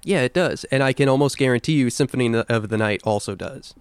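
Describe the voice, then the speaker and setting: A man's voice: medium pitch, fast, clean tone. A man co-hosting a podcast, talking casually and with firm opinions about video games. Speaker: podcast host.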